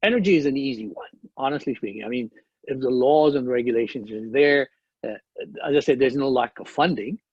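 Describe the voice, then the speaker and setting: Husky-voiced man speaking on a dull video call. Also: mid-range voice, a little mellow, no room sound. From Ethiopia. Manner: measured, explanatory, with frequent restarts. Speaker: conference panelist. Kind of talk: panel discussion.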